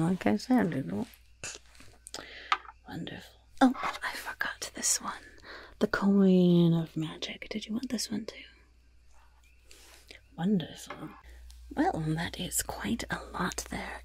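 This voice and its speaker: A woman's voice: mid-range voice, darkish tone, full character voice. A woman voicing a sly, gleefully wicked goblin shopkeeper in a whispered ASMR roleplay, with polite, chatty patter and repeated words. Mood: knowing, conspiratorial. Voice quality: breathy, husky whisper with gravelly creak.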